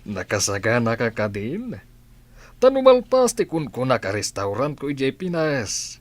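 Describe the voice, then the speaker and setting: An older father and boss, acted by a man, deliberate and authoritative with a teasing edge. His delivery swings widely in pitch, in an audio drama style. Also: very animated, dry recording. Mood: commanding.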